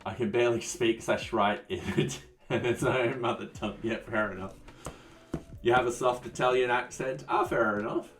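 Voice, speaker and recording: A man's voice: medium pitch, steady tone, normal speed, distant mic. A man hosting a casual live coding stream, answering chat in an easygoing way that often trails off. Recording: voice a bit off-mic, soft music behind.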